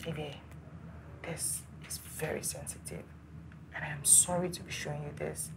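A woman's voice: low-pitched, big pitch swings, measured pace, background noise, heavily acted. A woman playing an earnest, apologetic friend. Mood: solemn. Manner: hesitant, apologetic, pleading. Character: earnest young woman friend revealing hard news. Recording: clear music under the voice.

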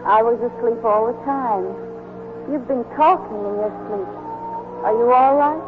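A woman plays a devoted, tender young English nurse seen in a dream, in 1940s radio style. Clear music runs behind her, and the old broadcast sound is thin and narrow. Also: a little high, unhurried, close to an everyday voice. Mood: tender, soothing. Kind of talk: 1940s radio drama acting.

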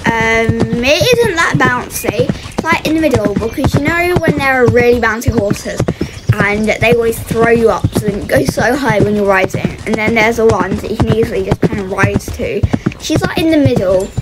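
A woman's voice: on the high side, moderate pace, over loud music, rough recording. A young woman giving casual commentary as she rides a horse in a game, chatty and full of fillers, coaxing her horse along. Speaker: vlogger narrating a horse game. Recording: loud noise behind the voice.

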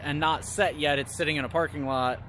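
A man's voice: on the high side, fairly even intonation, fairly quick, a bit bright, slight background noise. A man in his thirties, a homestead vlogger, chatting easily to a camera held at arm's length, first outdoors on rural land and then inside a camper. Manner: chatty, easygoing, talks straight to camera. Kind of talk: casual vlog narration.

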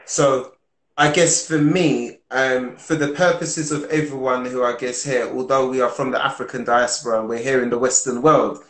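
A man in his forties hosting a podcast, asking measured, thoughtful questions over a video call. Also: mid-range voice, steady tone, fairly bright tone. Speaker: podcast host. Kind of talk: casual interview. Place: home room on a video call. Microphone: video call with earphone mic. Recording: roomy video call audio.

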